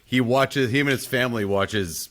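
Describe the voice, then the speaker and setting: A man's voice: mid-range voice, moderate pace, a bit bright. A man co-hosting a podcast, chatting casually in a jokey manner. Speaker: podcast co-host. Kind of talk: casual chat.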